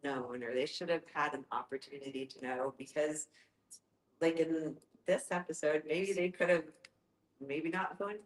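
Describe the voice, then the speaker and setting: A woman's voice: low-pitched, fairly even intonation, normal speed. A woman hosting an informal group discussion in a joking, self-aware manner. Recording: echoey room.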